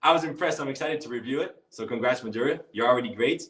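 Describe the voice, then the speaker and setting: A man's voice: mid-range voice, quick pace, a bit bright, reverberant, distant mic. A man hosting a live speaking workshop who calls everyone brother, speaking English as a non-native. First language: not English. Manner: calls participants brother and bro, upbeat coaching. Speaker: workshop host and speaking coach. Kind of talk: live online workshop, casual and encouraging.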